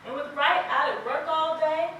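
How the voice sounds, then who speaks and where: A woman's voice: high voice, moderate pace, pushed and bright, highs cut off. A woman playing a plain-spoken, regretful farm wife in a rural period stage play, with a folksy, emphatic delivery. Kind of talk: stage play acting, early 1900s rural American drama. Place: stage performance recorded from audience distance. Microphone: distant camera. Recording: echoey hall from far off, noisy.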